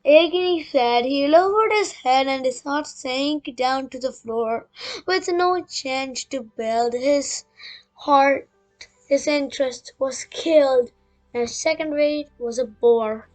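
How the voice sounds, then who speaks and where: A high, female-sounding young reader reading a children's book aloud haltingly, with chatty asides. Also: low-quality recording. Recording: music playing behind.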